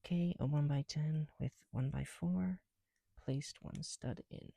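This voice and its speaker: A soft-spoken man chatting casually in an ASMR style, often dropping into whispers, with a creaky voice and a rambling, halting delivery, close to the mic. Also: a little high, no room sound. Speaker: ASMR video creator. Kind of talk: casual ASMR chat while building bricks.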